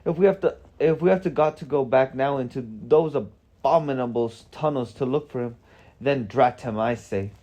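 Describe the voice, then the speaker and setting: Storybook dwarf, played by a male reader: grumbling, defensive, blustering, pitched up. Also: moderate pace, mellow, lightly acted. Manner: blustering, complaining.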